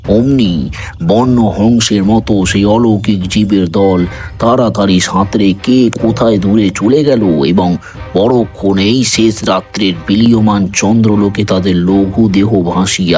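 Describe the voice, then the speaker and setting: A man reading a literary story in a measured, dramatic narrating style. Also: low-pitched, a little mellow.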